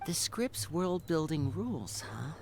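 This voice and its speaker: A calm, strategic young performer from a video game. The voice is fairly low yet expressive, reasoning things out. A faint noise is behind it. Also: female voice, gravelly, heavily acted. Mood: calm, thoughtful. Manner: measured, reasoning.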